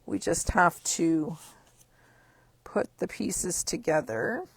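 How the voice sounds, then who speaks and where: Hoarse woman, croaky and gravelly, raspy tone, slow chatty craft demo. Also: on the low side, expressive, no room sound. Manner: chatty, upbeat step-by-step explaining. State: hoarse, losing her voice. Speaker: craft demonstrator. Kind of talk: card-making tutorial.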